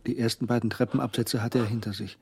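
A man's voice: mid-range voice, steady tone, fast talker, vocal fry, noisy background, close to an everyday voice. A man performing as the third-person narrator of a German crime radio drama, with scripted, tense storytelling. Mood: brooding.

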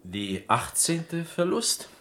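An actor playing a needling onlooker in a radio play, speaking slow broken German. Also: male voice, fairly high pitch, big pitch swings, fairly bright tone, soft background music, full character voice. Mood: needling, dry. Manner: broken grammar, slow and deliberate.

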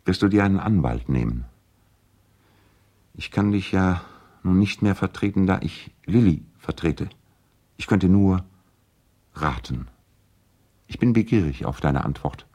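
Deep bass lawyer, puzzled family friend, formal, measured, hesitant, radio play monologue. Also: male voice, expressive, warm tone, heavily acted. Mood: perplexed.

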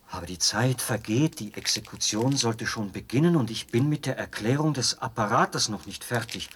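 A fanatically meticulous military officer in a radio drama. He lectures in a mid-pitched voice with proud, fervent precision, over faint background noise. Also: a bit bright. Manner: pedantic, explanatory, fervent. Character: zealous, meticulous male officer proudly explaining an execution machine. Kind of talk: German radio play acting.

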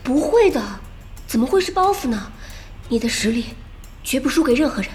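A loyal young woman in an audio drama. Her high voice is earnest and anxious, pleading over soft background music. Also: expressive, a little fast.

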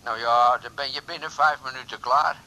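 An actor in a radio drama playing an impulsive, sheepish middle-aged suitor who talks haltingly and pleadingly. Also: male voice, medium pitch, steady tone, bright, forceful tone, rough recording. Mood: rueful, chatty. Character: impulsive, sheepish older suitor calling on the phone. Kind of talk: 1980s Dutch radio drama acting.

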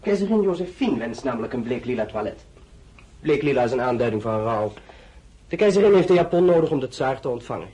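An actor playing a fussy, condescending fashion house buyer with a husky voice in a radio drama. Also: male voice, medium pitch, sing-song, fast, mellow, low-quality recording. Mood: fussy, dismissive. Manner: picky, condescending, reluctant.

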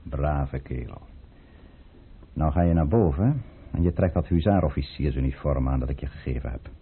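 Commanding conspirator count, low-pitched, swooping intonation, formal, calculating, radio play. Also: male voice, lively intonation, slight background noise. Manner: formal, deliberate, authoritative. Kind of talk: radio drama acting. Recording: muffled old radio play recording.

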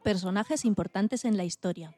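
A woman from announces radio segments from a script. She has a soft, mellow tone at medium pitch, with a dry, close sound. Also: moderate pace, dry recording. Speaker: radio co-presenter.

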